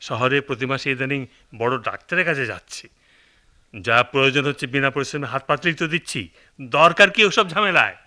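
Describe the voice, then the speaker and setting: A man's voice: mid-range voice, fast, pushed and bright. A man acting a stern, old-fashioned, middle-aged village father in a radio drama, scolding and complaining with a Bangladeshi accent. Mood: resentful.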